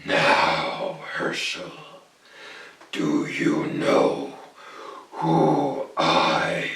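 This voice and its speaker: A monstrous goblin king from a storybook, menacing and enormous. The voice drops very deep and drags each word out with booming threat. It echoes around the room, some distance from the mic. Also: huge pitch swings, gravelly, a bit bright, heavily acted. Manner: slow, booming, drawn-out menacing delivery. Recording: roomy, echoing, distant mic.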